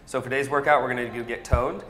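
Fast-talking man explaining in an echoey gym. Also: mid-range voice, fairly even intonation, a bit bright. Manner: fluent, instructive, coach-like. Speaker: startup product specialist. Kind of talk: product demo explanation. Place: large indoor gym with arched hallway.

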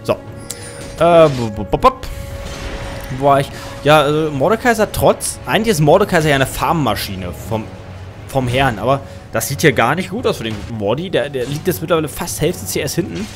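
A man's voice: a little high, lively intonation, normal speed. A young man with a German accent casting a match live, thinking aloud and correcting himself as he goes. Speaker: game caster. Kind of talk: casual game commentary. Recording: music under the voice.

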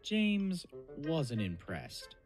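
A man's voice: fairly high pitch, wildly swooping pitch, very slow, faint music behind. A man reading scripted storybook narration for a cartoon dub.

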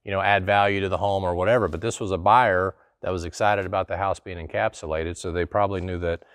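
Host type: middle-aged man, lower voice, dry studio sound, relaxed explainer. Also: fast, smooth, dry recording. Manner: conversational, folksy, answers a viewer question. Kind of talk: casual explanatory talk to camera. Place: small studio in front of a plain wall.

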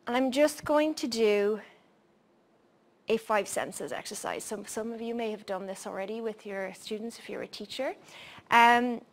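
Irish woman, forties, presenter in a lecture room; gentle, halting delivery with many "um"s, speaking into a handheld mic. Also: on the high side. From Ireland. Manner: soft-spoken, frequent "um" pauses. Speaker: program coordinator presenting. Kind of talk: workshop talk and guided mindfulness exercise.

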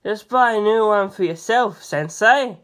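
Flippant male gangster, as a story narrator reads him, raised pitch, mocking. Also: measured pace, a bit bright, natural voice. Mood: dismissive.

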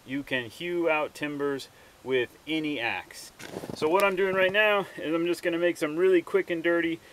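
A man's voice: on the high side, fairly bright tone. A plain-spoken man explaining hands-on homestead skills in a casual, instructional way, recorded outdoors on a camera mic a few feet away. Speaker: homesteader presenting his channel. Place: outdoors in a snowy farm yard.

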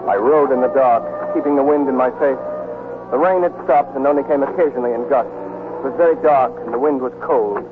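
Actor as steady man, muffled old radio. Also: mid-range voice, fairly quick, natural voice. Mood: reflective. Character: steady, devoted American soldier on the run. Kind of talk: 1940s radio drama acting and first-person narration. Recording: muffled 1940s radio recording with music.

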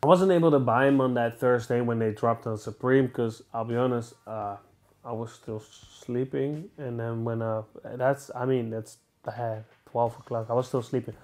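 A man's voice: mid-range voice, steady tone, moderate pace, a little mellow. Chatty young man with a Dutch accent. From Netherlands. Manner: chatty, enthusiastic, rambling with restarts. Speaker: sneaker vlogger. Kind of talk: casual unboxing review. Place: small indoor room, seated on a sofa.

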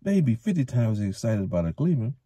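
A stout, jovial village mayor who runs the inn and speaks with easy authority, as a male narrator voices him. His tone is hearty and lifted, with a husky grain. The sound is close and dry. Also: mid-range voice, big pitch swings, fast talker, mellow, dry recording, pitched above the performer's own voice. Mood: earnest. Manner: hearty, knowing.

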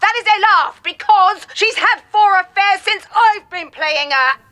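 A woman's voice: very high voice, a little slow, sharp tone, heavily acted. A middle-aged woman playing an exasperated, foul-mouthed soap actress in a screen comedy, theatrical, haughty and sarcastic. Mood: exasperated, ranting. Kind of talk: screen comedy acting as radio drama actress. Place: small radio recording studio.

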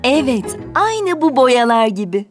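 High-voiced kind preschool teacher. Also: female voice, big pitch swings, measured pace. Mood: earnest, explanatory. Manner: clear, instructive, encouraging. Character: kind young female preschool teacher, encouraging and patient. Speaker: voice actor. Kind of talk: children's cartoon dialogue. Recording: loud music behind the voice. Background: music.

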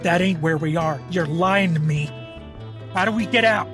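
A man's voice: a little high, moderate pace, music in the background, natural voice. A man voicing an angry, menacing doctor who swears and threatens as he demands answers. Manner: foul-mouthed, threatening.

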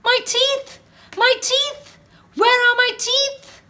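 A woman voicing a boastful male crocodile who loves being scary, with slow, booming boasts. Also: flat delivery, bright, forceful tone, full character voice. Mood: panicked.

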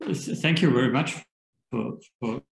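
A man's voice: mid-range voice, a little slow, vocal fry, reverberant, crowd noise. A man presenting a research project in an online seminar, hesitant with many fillers, over a video call. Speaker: academic presenter.

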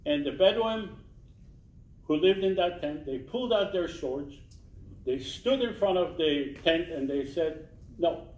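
A man's voice: on the high side, a little mellow. A man in his sixties speaking earnestly at a community panel, holding a handheld mic in a small meeting room, and repeating key phrases for emphasis. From Israel. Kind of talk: public talk at a community panel. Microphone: handheld mic, filmed from a distance. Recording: roomy, distant, dull sound.

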